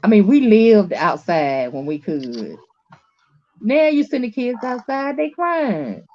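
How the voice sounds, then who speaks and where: A woman's voice: mid-range voice, very animated, takes it slowly, a little mellow, highs cut off. A woman in her late forties from North Carolina with a Southern accent, hosting a casual online chat in a folksy way, calling people honey and sweetie. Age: late 40s. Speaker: host.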